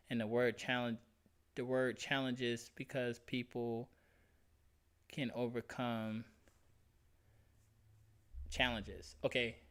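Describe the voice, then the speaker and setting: Casting: man, late 20s, bright forceful voice, candid livestream host reading chat. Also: mid-range voice, smooth. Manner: candid, reads chat aloud, emphatic slang. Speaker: streamer.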